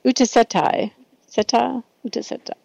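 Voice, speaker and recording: Creaky-voiced woman hosting a casual talk show. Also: a little high, moderate pace, a little mellow, no room sound, rough recording. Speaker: radio show host. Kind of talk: casual interview.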